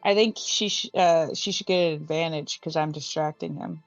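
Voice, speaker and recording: A woman banters and haggles her points as a tabletop player. Her voice sits a bit low. The recording is dry and slightly dull, with soft music underneath. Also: normal speed, no room sound. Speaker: player in a tabletop roleplaying game. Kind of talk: casual game-table banter. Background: background music.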